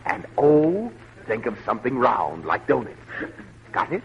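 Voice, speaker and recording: An actor playing a fussy, earnest, officious doctor who is easily provoked, in an old radio comedy. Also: male voice, medium pitch, big pitch swings, a little slow, creaky. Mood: patient, officious. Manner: officious, indignant. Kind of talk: 1940s radio comedy acting. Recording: muffled, hissy old radio recording.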